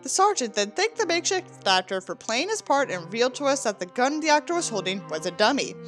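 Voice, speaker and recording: Female reader, theatrical and sarcastic, very animated pitch swings, mocking asides, music underneath. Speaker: host reading a book aloud with asides. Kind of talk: sarcastic dramatic reading with commentary.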